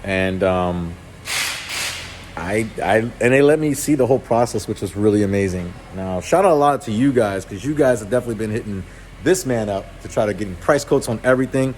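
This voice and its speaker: A man's voice: fairly low pitch, a little fast, darkish tone, a little hiss or hum. A man in his thirties hosting a car vlog, talking casually and often correcting himself mid-sentence. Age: 30s. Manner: casual, with restarts and self-corrections. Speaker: car channel host. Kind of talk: casual shop-floor vlog. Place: auto repair shop, indoors.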